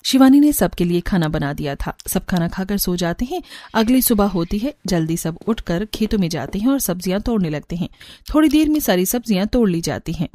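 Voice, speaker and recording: A woman's voice: medium pitch, lively intonation, a little fast, dark tone. A woman narrating a scripted cartoon story.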